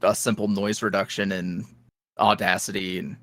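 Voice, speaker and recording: A man as a podcast guest talking casually, animated and laughing often as he talks. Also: fairly low pitch, moderate pace, no room sound. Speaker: guest, ASMR roleplay creator.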